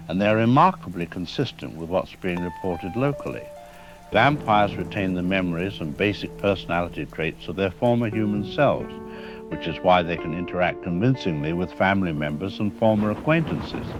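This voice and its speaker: A man playing a grave academic historian in a radio drama, speaking in a formal, measured, lecturing way. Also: deep voice, close to an everyday voice, rough recording. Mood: scholarly, explaining. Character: grave male academic historian expert on vampire folklore. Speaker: expert interview guest.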